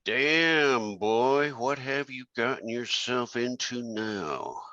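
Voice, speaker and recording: An actor playing a menacing older crime boss and club-owning uncle in a scripted table-read drama, deliberate and threatening. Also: male voice, mid-range voice, animated, sharp tone, no room sound, dull audio. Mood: concerned.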